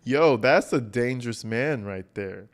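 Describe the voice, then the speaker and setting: A man's voice: medium pitch, animated, measured pace. A man hosting a livestream, giving casual, profane reaction commentary in a blunt, mocking, slangy way. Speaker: livestream host reacting to video.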